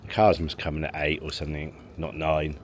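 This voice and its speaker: Low-voiced man vlogging casually. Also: completely flat delivery, fairly quick. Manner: rambling, chatty, chuckles between remarks. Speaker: family vlogger. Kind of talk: casual vlog chat to camera. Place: outdoors in a car park, then at a leisure pool. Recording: handheld vlog with loud background noise.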